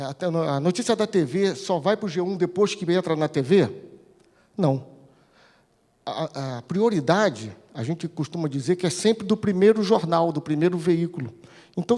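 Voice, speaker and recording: A man's voice: on the high side, darkish tone. A Brazilian man giving an informal conference talk, explaining didactically and often posing questions that he answers himself. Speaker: speaker at a conference, regional TV news editor-in-chief. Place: large indoor conference hall. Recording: echoey hall with long reverb.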